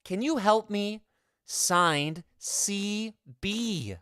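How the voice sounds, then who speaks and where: A woman's voice: medium pitch, animated, extremely slow, a bit bright, no echo, clean studio sound. A woman voicing an earnest, melodramatic teenage schoolboy who declaims and confides, in vintage radio comedy style. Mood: theatrical reading. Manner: conspiratorial, grandly declaiming.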